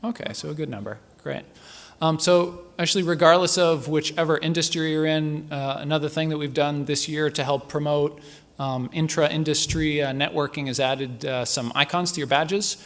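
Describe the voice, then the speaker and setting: A man introducing speakers at a conference session, talking in a halting way full of fillers. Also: fairly high pitch, fairly even intonation. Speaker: session host.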